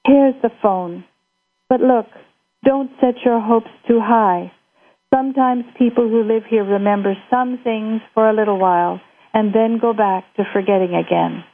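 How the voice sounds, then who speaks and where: A woman reading a play, voicing a nurse who speaks in a measured, cautioning way. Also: fairly high pitch. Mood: cautious. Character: calm careful woman nurse at a desk. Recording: tinny phone line.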